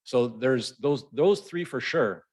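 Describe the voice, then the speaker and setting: A man from Canada, whose first language is Hebrew, hosting a conversational interview in a relaxed way and often repeating words as he thinks. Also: mid-range voice, slow pace, slightly rough. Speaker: podcast host.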